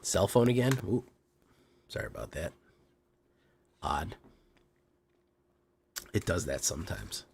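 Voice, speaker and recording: Sick guy running a deck walkthrough, rough gravelly low voice, bouncy up and down delivery. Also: very animated, slow pace, pauses often, slight background noise. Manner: playful showman patter, frequent uhs. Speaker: host of a card game channel.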